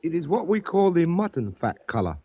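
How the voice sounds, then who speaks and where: A husky, deferential shop clerk. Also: male voice, on the high side, very animated, brisk. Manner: stiffly formal, keeps saying sir. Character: obsequious male shop clerk, polite and deferential. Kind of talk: 1940s radio acting. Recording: dull dry old radio recording.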